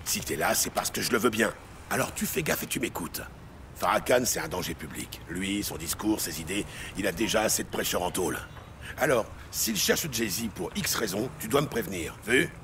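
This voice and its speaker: An actor playing a gruff, bossy, streetwise corrupt police lieutenant in an audio drama. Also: male voice, fairly low pitch, lively intonation, normal speed, a bit bright. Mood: irritated. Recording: faint city street and vehicle noise.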